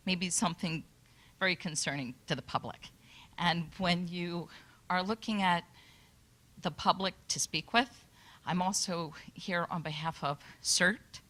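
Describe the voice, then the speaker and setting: A woman's voice: lowish voice, a bit bright. A woman from Michigan making a nervous but earnest public comment at a workshop microphone. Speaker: audience member at a public workshop. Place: conference hall. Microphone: handheld microphone.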